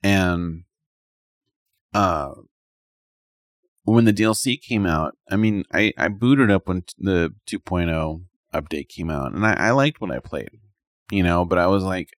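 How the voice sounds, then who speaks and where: A man's voice: deep voice, no echo, clean studio sound. A man in a casual, rambling chat about video games as a podcast co-host, speaking colloquially with lots of "like".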